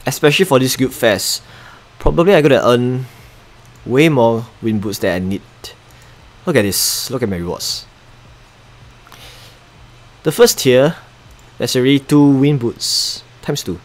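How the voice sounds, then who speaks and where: A man's voice: mid-range voice, lively intonation, unhurried, long pauses. Chatty Singaporean man streaming a game. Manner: chatty, muttering asides, reading chat aloud. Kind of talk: casual live-stream commentary.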